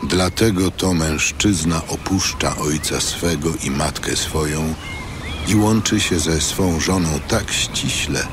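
A man narrating scripture from a script in a solemn, measured, formal manner. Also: rumbling low, background noise. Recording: loud music under studio narration.

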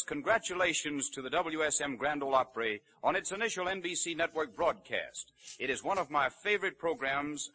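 A man's voice: fairly high pitch, bright, forceful tone, slightly rough, low-quality recording. A man announcing from a script in 1930s radio style, on a vintage broadcast recording.

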